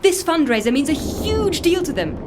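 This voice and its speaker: Fussy, anxious, high-voiced confessor. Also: female voice, sing-song, normal speed, noisy background. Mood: impassioned, indignant. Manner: fussy, emphatic, defensive storytelling. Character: anxious, self-justifying woman confessing impersonation, earnest dog lover. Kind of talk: audio drama dialogue, first-person confession.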